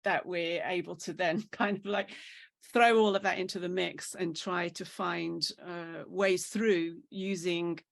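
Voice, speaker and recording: Gravelly-voiced woman on a video call. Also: lowish voice, fairly even intonation, unhurried. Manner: enthusiastic, searching, repeats words. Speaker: roundtable speaker. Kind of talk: online panel discussion.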